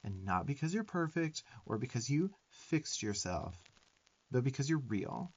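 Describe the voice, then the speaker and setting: A sarcastic little demon twink, played by a man, bosses the listener around with affection in an ASMR roleplay. His mid-pitched young voice swings up and down with sassy emphasis and blunt swearing. The sound is a little dull. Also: sing-song, measured pace, husky, heavily acted. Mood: earnest, affectionate. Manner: sassy, emphatic, profane tough-love delivery.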